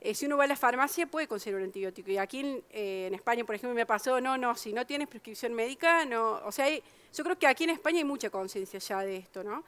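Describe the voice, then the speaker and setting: Clear-voiced Argentine woman explaining science. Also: fairly high pitch, quick pace, hardly any pauses, a bit bright. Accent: Argentine Spanish. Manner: explanatory, many fillers and restarts. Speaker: researcher giving a public science talk. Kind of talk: popular science talk with Q&A.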